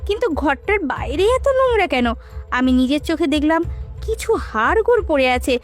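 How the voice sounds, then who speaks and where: A woman acts out a young city wife in a cartoon story, anxious and demanding. Her pitch is extremely high and very lively, with an insistent, questioning edge. Faint music runs underneath. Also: moderate pace. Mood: stern, demanding.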